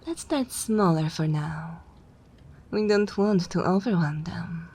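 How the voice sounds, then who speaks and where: A woman playing a haughty, teasing vampire mistress in an ASMR roleplay, speaking formally and imperiously in a soft, breathy way. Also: lowish voice, slow. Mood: sly, flirtatious. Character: haughty, teasing female vampire mistress, possessive but willing to share.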